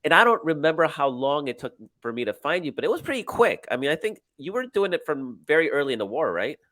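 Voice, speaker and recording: A man's voice: fairly high pitch, big pitch swings, quick pace, fairly bright tone, dry recording. A man hosting a political talk show over a video call, speaking eagerly and rambling with fillers. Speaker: podcast host.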